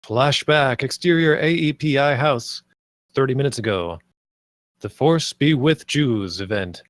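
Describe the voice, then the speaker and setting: A man's voice: mid-range voice, measured pace, dry recording. Dry-sounding man reading stage directions. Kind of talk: live script table read narration.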